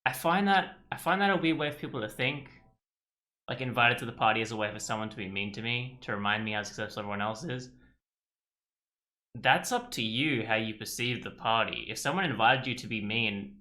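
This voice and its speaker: Bright-voiced man musing over a game. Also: mid-range voice, a little fast. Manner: musing, thinking aloud. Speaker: game streamer. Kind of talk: casual let's play commentary.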